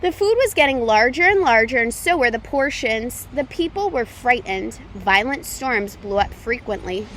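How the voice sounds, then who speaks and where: A woman reading a children's picture book aloud as a storytime reader, with expressive, deliberate phrasing for young listeners. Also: on the high side, slight background noise.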